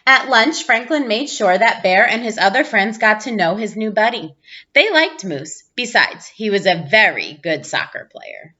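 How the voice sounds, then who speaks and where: A woman reading a children's storybook aloud in an expressive narrating style. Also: medium pitch, moderate pace, muffled recording. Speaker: storybook reader, teacher.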